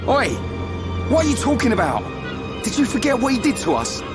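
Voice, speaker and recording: A man acting a hot-headed, blunt young fighter in a video game, slangy and quick to protest. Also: extremely high pitch, huge pitch swings, full character voice. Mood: indignant. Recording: game audio with music bed.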